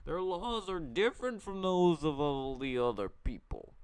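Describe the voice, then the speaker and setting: A man voicing a scheming, nervous villain, a royal advisor who stammers and hesitates in a loud, strained voice while reading a story aloud. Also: a little high, sing-song, clean tone, dry recording, pitched above the performer's own voice. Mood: nervous, pleading. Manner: stammering, halting, full of hesitations.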